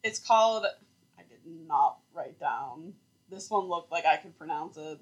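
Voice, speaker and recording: A woman's voice: sits low, animated, a little slow, dull audio. Chatty woman co-hosting a podcast. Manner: chatty, laughs often, many "like" fillers. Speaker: podcast co-host. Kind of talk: casual chat.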